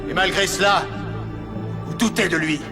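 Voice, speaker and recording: Stern prophet in bible cartoon, high pitched solemn speechifying over music. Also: male voice, moderate pace. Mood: stern, reproachful. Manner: grave, declamatory. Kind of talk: dubbed animated Bible film dialogue.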